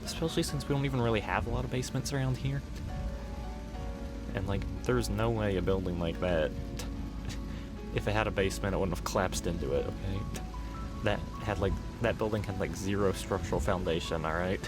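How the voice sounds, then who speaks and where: A young man playing a playful, chatty boyfriend on a night drive in a soft-spoken, rambling, gently teasing ASMR roleplay. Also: low voice, brisk, close to an everyday voice. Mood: reminiscing, relaxed. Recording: music under the voice.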